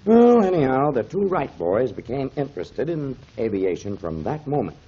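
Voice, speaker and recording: An avuncular mentor from a 1930s radio play, telling stories to youngsters with emphatic, swooping delivery. His voice is a little rough and husky, heard through the dull sound of an old broadcast recording. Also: medium pitch, very animated, normal speed, mellow. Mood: enthusiastic explaining. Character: kindly grown man, storytelling mentor to children, pipe smoker.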